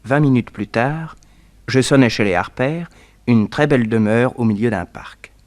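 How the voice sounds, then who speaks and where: An actor playing an observant detective who narrates his case with measured, wry musing in a 1960s French radio serial. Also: mid-range voice, natural voice. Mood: narrating.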